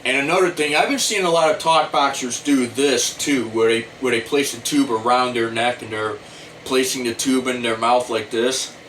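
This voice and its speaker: A middle-aged man with an American accent gives an informal tutorial to camera, explaining things deliberately and repetitively. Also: medium pitch, steady tone, fairly bright tone. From United States. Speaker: talk box player giving tutorial. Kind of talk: informal instructional talk to camera. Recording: distant mic, faint air-conditioner hum.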